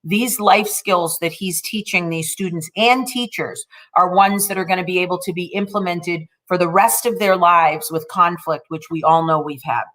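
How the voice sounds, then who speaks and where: A woman's voice: lowish voice, normal speed, hardly any pauses, fairly bright tone. Effusive woman in her forties moderating. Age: 40s. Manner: effusive and encouraging. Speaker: panel moderator. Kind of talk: introducing and moderating a panel. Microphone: video call.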